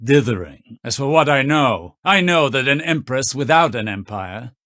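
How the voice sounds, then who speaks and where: An actor playing a stern, shrewd veteran military spymaster in a game, speaking in a measured, commanding, cryptic way. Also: male voice, mid-range voice, expressive, a bit bright, no room sound, clean studio sound, natural voice. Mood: cool, probing. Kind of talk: scripted game voice acting.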